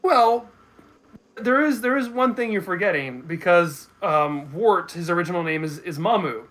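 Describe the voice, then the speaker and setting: Male guest, sharp bright tone, slightly high pitch, casual chat, explanatory, halting starts. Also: animated. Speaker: guest co-host. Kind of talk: casual chat about games.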